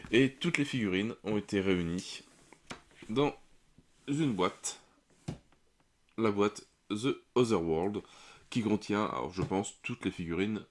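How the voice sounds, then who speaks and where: A man with a cold, hosting a board game unboxing in a relaxed, hesitant way with many fillers. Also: low voice. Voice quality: slightly off, congested voice. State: has a cold. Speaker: board game channel host. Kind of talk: casual unboxing commentary.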